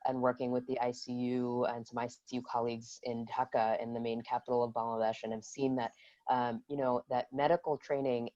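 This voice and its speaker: An American physician speaking hesitantly as a panelist in an unscripted seminar, thinking aloud, on dull low-fidelity audio. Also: flat delivery, brisk, warm tone, no echo. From United States.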